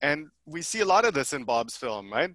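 A man's voice: fairly high pitch, big pitch swings, moderate pace, pushed and bright, no echo. A middle-aged man moderating a panel on a video call over a headset mic, chatty and upbeat with follow-up questions.

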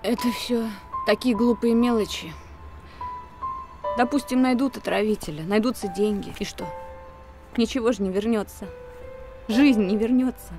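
A woman's voice: on the high side, moderate pace, pauses often, music in the background, natural voice. A woman in her thirties playing a heartbroken, resigned theatre worker in a naturalistic screen drama. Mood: distressed, bitter.

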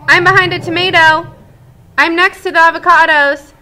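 A woman voicing a giddy crowd of runaway eggs in a storybook, squealing and excited. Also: very high voice, normal speed, slight background noise, pitched above the performer's own voice, poor audio.

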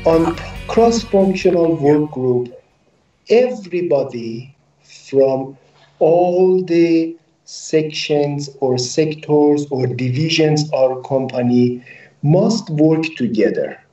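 Muffled, roomy video-call audio carries a man in his forties with a Persian accent. He is a native Persian speaker who explains things slowly and deliberately in halting English, as an executive being interviewed. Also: a little high, warm tone, far from the mic. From Iran. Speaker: company managing director, interview guest. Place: indoor office room with hard ceiling. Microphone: video call, laptop at desk distance.

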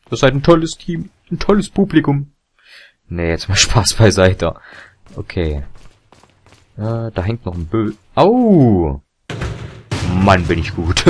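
A young man commentating a video game, chatty and rambling with fillers and self-corrections, his voice a little hoarse and tired. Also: on the low side, dry recording. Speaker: let's play commentator. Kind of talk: casual gameplay commentary.

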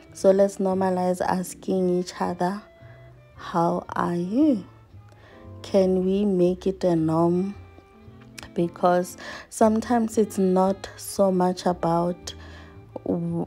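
A woman's voice: fairly low pitch, gravelly, dark tone, breathy edge. A woman from South Africa in her thirties, chatting earnestly to her viewers while washing up in her home kitchen. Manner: earnest, reflective, speaks while washing dishes. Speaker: vlogger. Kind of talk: casual chat to viewers while doing chores.

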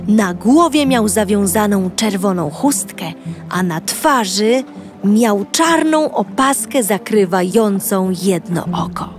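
A Polish-accented woman telling a children's audio story in the first person as a cheerful young girl. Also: medium pitch.

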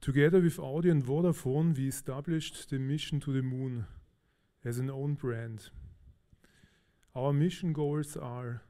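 A man from Austria giving a technical talk on stage at a conference, relaxed and a little hesitant, with fillers. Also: mid-range voice. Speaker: conference speaker. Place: large conference hall.

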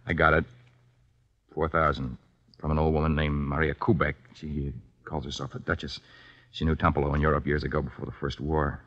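An actor playing an earnest, pleading young fencer in a 1950s radio drama. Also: male voice, extremely low pitch, little pitch movement, a little fast. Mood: uneasy, explaining. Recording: dull, lo-fi 1950s radio recording.

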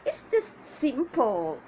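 A woman performing a comedic monologue as a needy, chatty woman waiting on a suitor, with theatrical exasperation and drawn-out questions. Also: high-pitched, wildly swooping pitch, darkish tone, breathy edge, heavily acted, low-quality recording. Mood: indignant.

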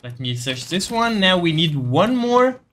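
Guy doing a casual game guide, bright voice, a bit high, talking to viewers. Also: sing-song, unhurried. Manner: explanatory, addressing viewers directly. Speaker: gaming video creator giving a quest guide. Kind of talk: casual gameplay tutorial commentary.

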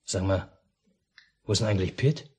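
Low-voiced, unflappable father in old radio drama. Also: normal speed, darkish tone, husky. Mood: unruffled. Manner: dry, understated. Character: unflappable, dryly matter-of-fact family father amid war. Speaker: narrator and father. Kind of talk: 1950s radio drama acting. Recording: noisy 1950s mono radio recording.

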